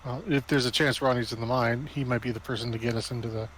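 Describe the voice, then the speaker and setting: A man's voice: mid-range voice, fairly even intonation, quick pace, a bit bright, slight background noise, poor audio. A man playing a tabletop roleplaying game, talking casually with the others.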